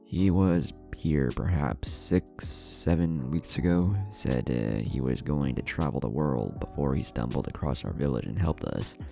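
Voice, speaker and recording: A man voicing a weary, kindly old village chief in a storybook narration, hesitant and measured, over music. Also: very deep voice, lively intonation, creaky, mellow, exaggerated voice. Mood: reluctant. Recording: music under the voice.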